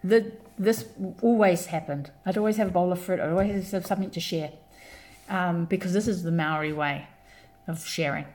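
A woman's voice: lowish voice, moderate pace, a little mellow. A woman raised in New Zealand, a podcast guest explaining her community work in an earnest, storytelling way with many restarts. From born in London, grew up in New Zealand. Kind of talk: conversational podcast interview.

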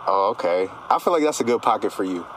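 A man's voice: fairly low pitch, expressive, fast, noisy background. A man hosting a casual, slangy radio interview in an enthusiastic, informal and affirming way. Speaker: radio show host interviewing a musician.

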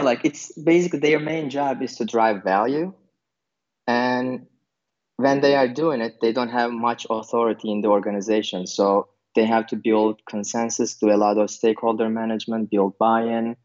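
A man's voice: mid-range voice, a little mellow. A man from Turkey answering interview questions about his work, thinking aloud with many fillers and restarts. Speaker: podcast guest, product manager. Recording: dull lo-fi sound.

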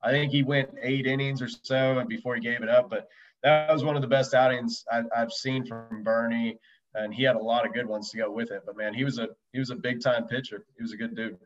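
The sound is dull and slightly lo-fi. A man with a medium-pitched voice tells stories casually as a podcast guest, in loose, slangy, colloquial phrasing. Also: steady tone, brisk. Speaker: podcast guest, former professional baseball pitcher. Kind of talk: casual chat, storytelling.

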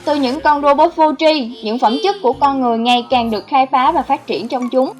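A woman's voice: high voice, fairly quick, faint music behind. A woman reading a scripted voice-over narration as the narrator of a countdown video. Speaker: narrator of a film countdown channel.

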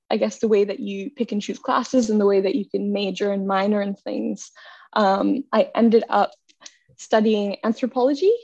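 A woman's voice: medium pitch, warm tone, dry recording. A young woman from Bangladesh with an American accent, a podcast guest talking casually and laughing often. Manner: chatty, self-deprecating, laughs often. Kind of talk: casual chat. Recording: dull, dry sound.

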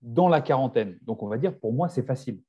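A man's voice: medium pitch, expressive, brisk, dark tone, husky. A man in his forties, a fitness coach, speaking as a guest in a casual podcast chat, often restarting his sentences. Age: 40s. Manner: hesitant, many restarts and fillers.

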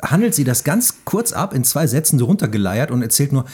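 Male podcast host, dark and husky, casual film recaps with acted quotes. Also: mid-range voice, sing-song, fairly quick.

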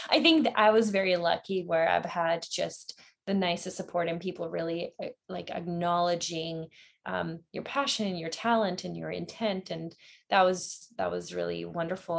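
A woman's voice: on the low side, vocal fry. A woman speaking as a podcast guest, talking in a reflective way and laughing easily. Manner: warm, reflective, laughs often. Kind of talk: casual interview chat.

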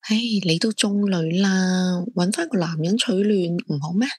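A woman's voice: lowish voice, normal speed, darkish tone, husky, no room sound, clean studio sound. An actress playing a nosy, blunt female friend in an audio drama, prying with questions and exclamations. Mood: nosy, teasing. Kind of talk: Cantonese audio drama acting.

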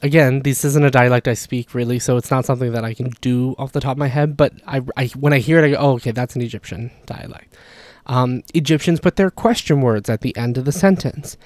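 A man's voice: medium pitch, a little fast, a little mellow. A man with a mid-range voice explains language points in a loose, conversational way. He keeps slipping in self-deprecating apologies and asides. The sound is close and dry, with no room echo. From Levantine, Palestinian or Jordanian Arabic background. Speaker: language educator. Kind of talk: casual explanatory monologue.

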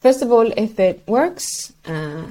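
A woman's voice: a little high, very animated, slow pace, warm tone. A woman whose first language is Swedish, explaining medical research as an interview guest, hesitant and searching for words.

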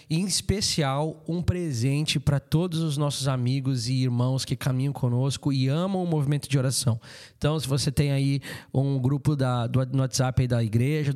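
A man's voice: medium pitch, a little mellow. A man hosting a podcast, who greets listeners in a welcoming way and asks his guest open questions. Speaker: podcast host.

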